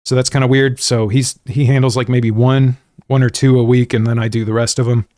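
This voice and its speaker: An American man talks to his livestream viewers in a loose, rambling style full of slang. His pitch sits in an ordinary middle range for a man, and he is self-deprecating as he goes. The sound is dry and close, with very little room in it. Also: little pitch movement, a little fast, darkish tone, no echo. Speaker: gaming livestream host. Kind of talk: casual livestream chat to viewers. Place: indoors at a desk.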